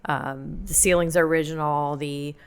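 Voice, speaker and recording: Podcast host lady, low smooth voice, upbeat and into it. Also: fairly even intonation, normal speed. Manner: upbeat, enthusiastic reactions. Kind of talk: casual podcast interview.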